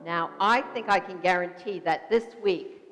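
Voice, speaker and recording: A woman minister gives a simple, gentle children's talk during a worship service and leads the congregation in call and response. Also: lowish voice, normal speed, faint music behind. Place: church sanctuary. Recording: echoey church sanctuary.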